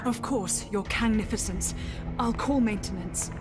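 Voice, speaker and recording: A woman playing a deferential consort of a tyrant in a video game, ingratiating and flattering. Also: medium pitch, measured pace, loud music behind the voice, natural voice. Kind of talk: animated video game acting.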